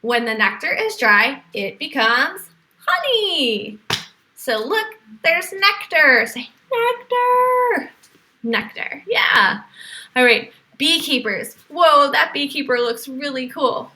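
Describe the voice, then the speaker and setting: Female children's read-aloud teacher: high-pitched, unhurried, animated, talking straight to her young class. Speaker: teacher reading to a virtual class.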